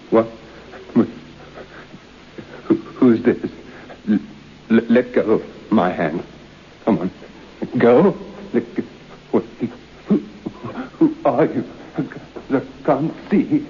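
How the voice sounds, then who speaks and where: An actor playing a frightened, halting man losing his mind in darkness in an old-time radio drama. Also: mid-range voice, lively intonation. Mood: panicked, confused. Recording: muffled, hissy old radio recording.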